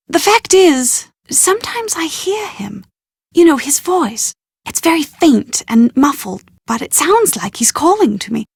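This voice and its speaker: Genteel young British bride, game dialogue; high, dry-recorded voice, proud, exasperated but sure of herself. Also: female voice, creaky, no echo, heavily acted. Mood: insistent. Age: young adult. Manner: prim, articulate, genteel phrasing. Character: well-bred young bride-to-be, proud, exasperated yet confident. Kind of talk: scripted video game dialogue.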